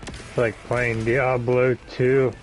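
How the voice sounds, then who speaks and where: A man's voice: mid-range voice, little pitch movement, slow. A man co-playing a game on a live stream, making dry offhand remarks. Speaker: co-player. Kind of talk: casual live-stream chat. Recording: noisy background.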